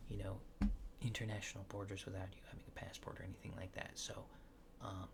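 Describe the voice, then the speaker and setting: A man doing a soft-spoken ASMR roleplay, hushed and often near a whisper, with hesitant, polite, clinical phrasing. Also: deep voice, flat delivery, fast, creaky, background noise. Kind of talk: soft-spoken ASMR roleplay as an alien abductor examining a subject.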